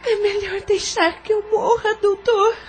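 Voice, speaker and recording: Audio drama role: desperate young labouring mother, extremely high voice. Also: level tone, measured pace, soft background music. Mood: anguished despair. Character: frightened, despairing young woman in childbirth.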